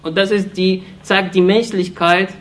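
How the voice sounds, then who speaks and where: A man from Iraq gives an impassioned political speech in halting German, restarting phrases often and sounding earnest and accusatory. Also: high voice, flat delivery. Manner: halting non-native German, frequent restarts and fillers. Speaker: speaker addressing an audience.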